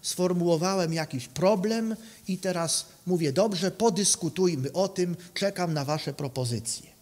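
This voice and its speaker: A man delivers a partly improvised conference lecture. His voice sits somewhat high and he moves haltingly, repeating words and checking in with short questioning tags. The lectern microphone carries the ring of the hall. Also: darkish tone. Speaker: academic philosophy lecturer. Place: conference room, indoors. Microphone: microphone on a stand at a lectern. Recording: reverberant hall sound.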